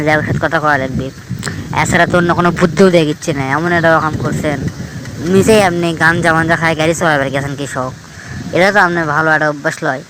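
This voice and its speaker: A man's voice: fairly high pitch, noisy background, natural voice. A man with a northern Bangladeshi accent playing an exasperated, scolding village friend in a cartoon skit. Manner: scolding, lecturing. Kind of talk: colloquial dialogue in a cartoon skit.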